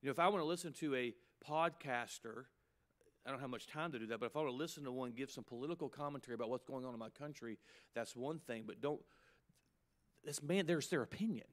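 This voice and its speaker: A man in his fifties preaches with force, his voice jumping higher when he drives a point home. He mixes punchy emphasis with quick, conversational asides to the room. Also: a bit bright. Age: 50s. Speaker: pastor. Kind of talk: sermon to pastors.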